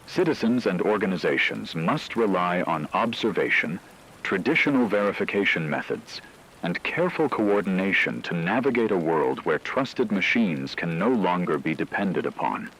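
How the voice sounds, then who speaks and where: A man voicing a grave radio news anchor, reading a scripted emergency broadcast in a formal, measured way. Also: deep voice, lively intonation, a bit bright, low-quality recording. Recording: radio-style broadcast with faint hiss, rain-like noise.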